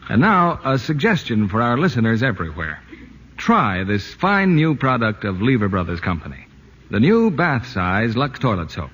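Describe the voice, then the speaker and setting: A man announcing a scripted 1940s radio commercial, smooth and salesy. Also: mid-range voice, big pitch swings, moderate pace, a bit bright, highs cut off, slight background noise. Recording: old radio broadcast, echoey.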